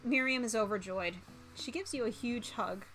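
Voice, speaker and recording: A woman streaming a visual novel, reading it aloud with animated, dramatic narration and playful asides. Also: fairly high pitch, moderate pace, poor audio. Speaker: VTuber streamer. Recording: music behind.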